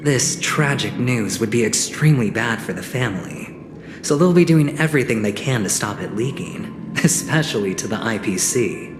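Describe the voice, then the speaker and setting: A man voicing a smooth, cocky young schemer in a video game, theatrical and insinuating, talking like a teasing negotiator. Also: medium pitch, lively intonation, normal speed, close to an everyday voice. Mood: scheming, animated. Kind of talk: dramatic video game voice acting. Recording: echoey reverb, music underneath. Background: game music.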